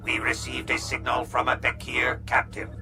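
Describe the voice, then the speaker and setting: A man putting on a menacing alien warrior in an audiobook, speaking in a dead-flat, commanding monotone with no rise or fall at all. Music plays behind the voice. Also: mid-range voice, moderate pace, creaky, lightly acted. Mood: cold.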